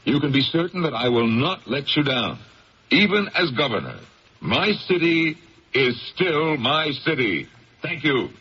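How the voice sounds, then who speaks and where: An actor playing a successful, authoritative but anxious district attorney in a 1970s radio drama. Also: male voice, fairly high pitch, big pitch swings, a little slow. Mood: oratorical. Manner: authoritative, hesitant under pressure. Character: anxious, brusque, successful male district attorney hiding secrets. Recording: dull, faintly noisy 1970s radio broadcast.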